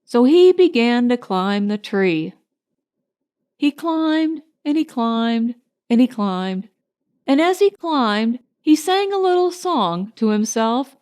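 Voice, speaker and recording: A woman narrating a children's storybook in an unhurried, expressive way. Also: a little high. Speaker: audiobook narrator.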